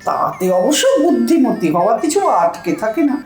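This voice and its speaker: Woman playing a bossy, animated older housewife. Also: on the high side, moderate pace, echoey room, faint music behind. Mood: smug. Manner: scolding, wheedling, self-important chatter. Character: bossy, boastful, illiterate older housewife at a bank. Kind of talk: comic audio drama acting.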